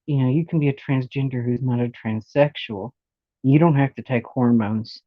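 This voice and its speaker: A woman's voice: a bass voice, fairly even intonation, normal speed, dry recording, dull audio. A woman in her forties giving a casual, rambling personal monologue, often circling back to repeat points. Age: 40s. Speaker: vlogger sharing personal experience.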